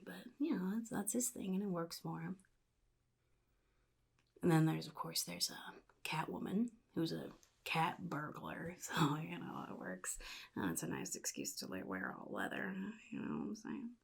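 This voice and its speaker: A woman voicing a chaotic, jittery male clown supervillain in soft-spoken ASMR roleplay, half-whispering and rambling in halting, sly phrases. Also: lowish voice, full character voice. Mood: sly, confiding. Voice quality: breathy, gravelly, half-whispered. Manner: halting, rambling, sly, addresses listener as kid.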